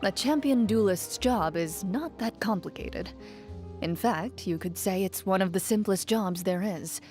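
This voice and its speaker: A woman playing a composed, dutiful young female champion duelist in a video game, speaking in a formal, measured, reserved way. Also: medium pitch, darkish tone, lightly acted. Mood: stern, matter-of-fact. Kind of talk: video game character voice lines, reflective monologue. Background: music under the voice.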